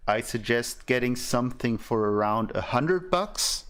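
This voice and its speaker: A man's voice: medium pitch, animated, unhurried, fairly bright tone, clear, resonant tone. A young man with a German accent who thinks aloud as he explains soldering at his workbench, with many fillers. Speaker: electronics streamer. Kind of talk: casual soldering tutorial.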